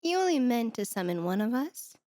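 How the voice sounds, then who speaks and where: A woman's voice: on the high side, very animated, fairly quick, a little mellow, no room sound, clean studio sound. A woman voicing a sardonic, teasing female demon with a condescending, flirty manner in an ASMR roleplay.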